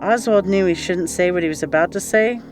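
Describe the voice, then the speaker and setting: A man's voice: high voice, a little fast, over music. A man reading scripted story narration as an audiobook narrator. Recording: faint background noise.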